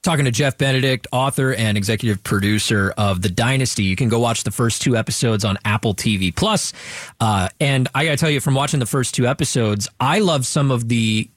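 A male radio host keeps the interview moving with upbeat introductions and questions. His pitch is average, and the studio sound is dry and close with no room echo. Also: a little fast.

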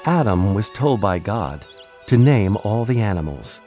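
A man announces in a low, mellow voice. His scripted delivery is earnest and measured, with faint music behind him. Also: big pitch swings. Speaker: advertisement announcer. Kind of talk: scripted narration.

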